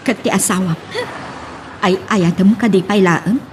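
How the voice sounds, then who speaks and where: A woman's voice: mid-range voice, unhurried, a little hiss or hum, close to an everyday voice. A woman with an Ilocano Filipino accent playing a concerned, scolding friend in an audio melodrama, probing and emphatic. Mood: reproachful. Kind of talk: Ilocano radio-style melodrama acting.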